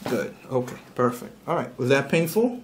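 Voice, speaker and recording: A man's voice: medium pitch, very animated, moderate pace, a little hiss or hum. A man working as a chiropractor, giving casual, reassuring hands-on instructions in short repeated prompts. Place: small indoor chiropractic treatment room.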